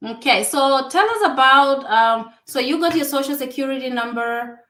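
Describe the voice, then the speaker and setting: Higher-voiced woman host in an echoey room. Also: lively intonation, normal speed, pushed and bright. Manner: prompting, loose with fillers like "like". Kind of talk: casual interview questions. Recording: roomy echo.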